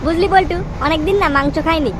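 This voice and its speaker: A man voicing a cheeky, daydreaming young glutton in a comedy cartoon, with a pitched-up voice. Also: fast talker. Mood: greedy, dreamy. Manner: wistful, food-obsessed, cheeky. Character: greedy, daydreaming young club idler craving free feasts. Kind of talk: exaggerated comedy cartoon acting. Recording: noisy track with street and vehicle ambience.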